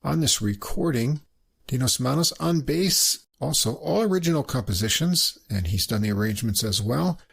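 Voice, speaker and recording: Guy hosting a music podcast, gravelly kinda husky voice, reading credits and talking thru tracks. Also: mid-range voice, expressive, moderate pace. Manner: informative, reads out credits. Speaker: podcast host. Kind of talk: reviewing music recordings.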